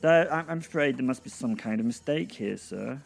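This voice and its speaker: Man putting on a nervous, higher-pitched heavenly clerk. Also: animated, moderate pace, creaky, slight background noise. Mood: apologetic, puzzled. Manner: hesitant, stammering, polite. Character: nervous, apologetic, officious male heavenly desk clerk.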